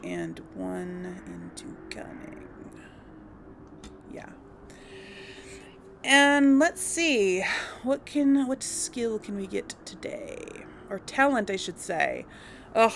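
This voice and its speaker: A woman talks through her gameplay in an easygoing, unhurried way, often pausing to think out loud with ums. Her voice has a gravelly vocal fry. A faint hiss sits behind her. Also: medium pitch. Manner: chatty and unsure, thinking aloud with many ums. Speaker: let's play host. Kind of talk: casual gameplay commentary.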